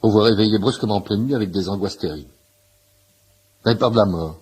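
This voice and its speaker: A man's voice: fairly low pitch, fast, darkish tone, slight background noise, natural voice. A man playing a talkative, nosy shopkeeper who eagerly explains homeopathy in a pedantic way, in a French radio play. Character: chatty, nosy shirt-shop owner, amateur homeopathy enthusiast in waiting room. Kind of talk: naturalistic French radio comedy-drama acting.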